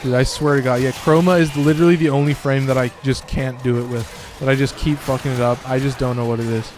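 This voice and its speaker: A man chatting loosely through a game stream in an ordinary middle-pitched voice, a little hoarse and raspy. He swears freely and keeps up easy, rambling talk. A faint hiss of noise sits under the voice. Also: fairly even intonation, quick pace. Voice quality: slightly raspy. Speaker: gaming streamer. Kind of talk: casual gameplay commentary.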